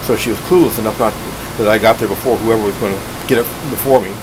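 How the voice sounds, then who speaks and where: Man rambling casually over steady background hiss. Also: on the low side. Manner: rambling, halting, plain-spoken. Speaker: radio collector and video host. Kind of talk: casual hands-on gadget overview. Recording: steady hiss and hum behind voice.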